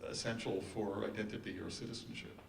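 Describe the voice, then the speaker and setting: A man giving informal panel remarks in a halting delivery. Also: on the low side, little pitch movement, gravelly. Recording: echoey room, distant mic, faint noise.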